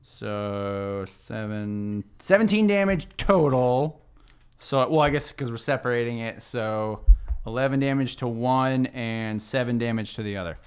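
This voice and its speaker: A man's voice: medium pitch, a little slow, clear, resonant tone. A man chatting casually as a player in a tabletop game, jokey and thinking aloud.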